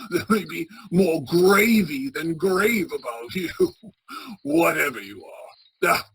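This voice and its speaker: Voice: mid-range voice, sing-song, slow, a bit bright, exaggerated voice. An actor playing a crotchety, frightened old male miser in a radio play, stammering and blustering as he speaks. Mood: scoffing. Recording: clearly noisy track with ambience.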